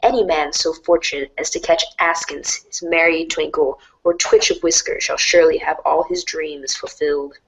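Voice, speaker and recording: A woman voicing a wry, mock-grandiose storybook narrator in a dramatic read-along style, deadpan and sardonic. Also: low voice, normal speed, few pauses, poor audio. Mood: mock-grand. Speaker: narrator of a fan readers' theater show.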